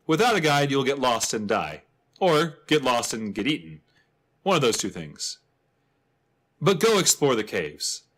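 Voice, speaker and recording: A man's voice: mid-range voice, a bit bright. A man playing a chatty, wryly humorous travel writer, narrating a fantasy guidebook with theatrical expression. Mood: wry, playful.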